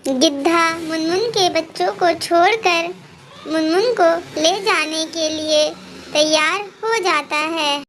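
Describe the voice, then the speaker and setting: A woman narrating a scripted children's animated moral story. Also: extremely high pitch, slow pace, a little hiss or hum.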